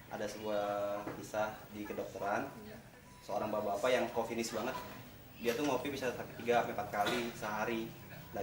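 A man's voice: medium pitch, background noise. A young man doing a stand-up comedy routine in an office, telling stories and slipping into character voices. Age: young adult. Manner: storytelling with acted-out character voices. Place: large open-plan office, indoors. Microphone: far camera. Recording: roomy office sound from far off. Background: office ambience and colleagues.

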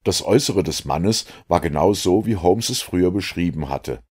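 A man narrating a detective novel as an audiobook, reading in an expressive, storytelling way. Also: deep voice, moderate pace, breathy edge.

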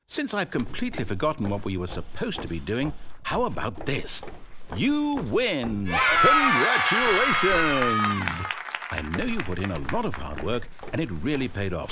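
A man's voice: medium pitch, background noise, natural voice. A man with a British accent playing a fussy, whimsical, easily exasperated storyteller in a video game, conversational and theatrical. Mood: puzzled, then mock celebration. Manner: conversational, self-interrupting, dramatic. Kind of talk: theatrical scripted narration.

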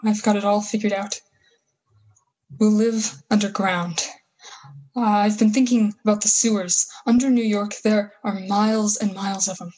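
Husky, scheming survivor soldier, played by a woman. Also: mid-range voice, fairly even intonation, warm tone, natural voice, rough recording. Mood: fervent, scheming. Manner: folksy, slangy, halting emphatic phrases. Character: bitter, scheming survivor soldier with grand mad plans. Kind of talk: 1930s-style radio play acting.

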